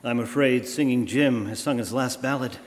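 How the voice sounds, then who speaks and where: An actor playing a composed, concerned gentleman sleuth in a live radio drama, earnest and measured. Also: mid-range voice, natural voice. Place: church hall stage. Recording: echoey hall, background noise.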